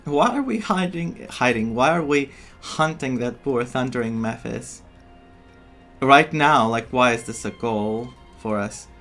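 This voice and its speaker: A man's voice: mid-range voice, animated, measured pace, a bit bright, faint music behind. A man giving casual, playful playthrough commentary as a gaming streamer, reacting aloud.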